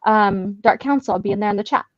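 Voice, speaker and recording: A woman's voice: mid-range voice, fast, no echo, muffled recording. A woman hosting a livestream, chatting informally and reading out viewer comments. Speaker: livestream panel host.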